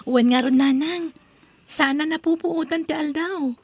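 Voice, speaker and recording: A young woman voicing a grateful student about to graduate who speaks gently and thankfully. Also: high-pitched, slow. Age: young adult. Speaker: voice actor. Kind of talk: audio drama acting.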